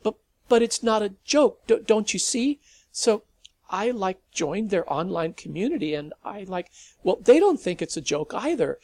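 A man's voice: high-pitched, sing-song, a little mellow, no echo, pitched above the performer's own voice, heavily acted. A man voicing a stammering nervous teenage boy. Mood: earnest, nervous. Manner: stammering, rushed, emotional.

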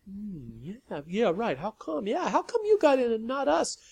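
A man's voice: extremely high pitch, big pitch swings, measured pace, gravelly, darkish tone, husky, no room sound, voice pushed up, exaggerated voice. A man voicing a group of hungry vampires who whine and complain like rebellious children. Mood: whining. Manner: whiny, complaining.

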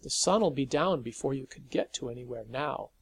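A man voicing a gruff irritable man. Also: medium pitch, expressive, normal speed, slight background noise. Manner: gruff, exasperated.

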